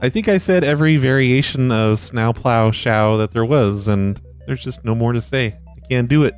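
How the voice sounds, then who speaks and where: A man's voice: medium pitch, moderate pace, smooth, soft background music. Sarcastic man hosting a call-in show. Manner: sarcastic, teasing. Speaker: podcast host playing voicemails. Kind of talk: casual comedic banter.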